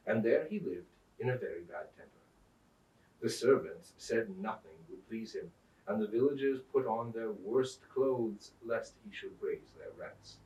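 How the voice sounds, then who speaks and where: A man reads a storybook aloud with theatrical, deliberate phrasing. His medium-pitched voice rises and falls in a lively way. It is recorded at a distance from the microphone in an echoing room. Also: lo-fi sound. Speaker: Santa storyteller reading aloud. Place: indoors at a museum. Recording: roomy echo, distant microphone.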